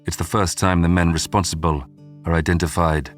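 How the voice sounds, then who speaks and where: Male narrator for history documentary: low-pitched, measured, dramatic storyteller, faint music underneath. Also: level tone. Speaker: documentary podcast narrator and host. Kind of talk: scripted narration. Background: background music.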